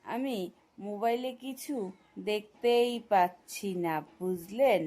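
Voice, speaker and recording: A woman's voice: medium pitch, takes it slowly, mellow. A woman performing the voices in a children's comedy cartoon, with a teasing, playful delivery. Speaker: voice actor doing several cartoon parts. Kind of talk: cartoon voice acting, slow and drawn out.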